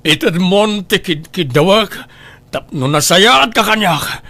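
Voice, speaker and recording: A man playing a greedy, cruel father with an Ilocano Filipino accent and a menacing, drawn-out delivery in a radio drama. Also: high-pitched, expressive, gravelly, bright, forceful tone, no echo, full character voice. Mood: menacing, angry. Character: greedy, cruel, threatening father in a family feud.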